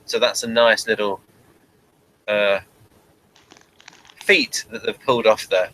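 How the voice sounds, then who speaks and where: A man's voice: lowish voice, steady tone, slow, pushed and bright, poor audio. A man hosting a casual, unscripted review chat, steering it along in a hesitant, trailing way. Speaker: host of review show.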